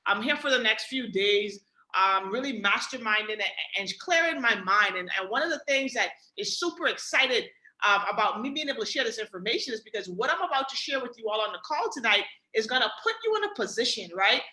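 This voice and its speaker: A young adult woman from the Bahamas with a Bahamian accent, giving an energetic motivational sales pitch on a webinar, often asking "right?" and calling the audience "guys.". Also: brisk, echoey room, poor audio. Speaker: webinar presenter.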